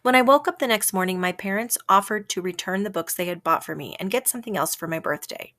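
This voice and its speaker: A woman reading a children's story aloud as scripted narration, with a dramatic, expressive delivery that varies for effect. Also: mid-range voice, fast. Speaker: storybook reader.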